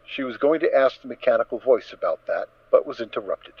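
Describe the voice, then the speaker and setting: A man narrating a story as a dramatic reading, in an expressive, theatrical manner. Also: medium pitch, a little fast, breathy edge, no echo. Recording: slightly dull, dry close recording.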